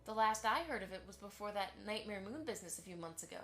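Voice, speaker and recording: A woman's voice: medium pitch, animated, quick pace, fairly bright tone, lightly acted. Woman playing a politely prying pony socialite over music. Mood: polite curiosity. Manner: formal, courteous, pointedly inquisitive. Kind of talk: fan audio drama acting.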